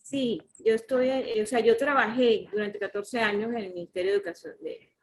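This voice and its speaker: A woman's voice: on the high side, fairly even intonation, rough recording. A woman from Venezuela, a teacher and graduate student, talking in class with many fillers like "este". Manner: slow, uses fillers like "este". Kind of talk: casual class participation.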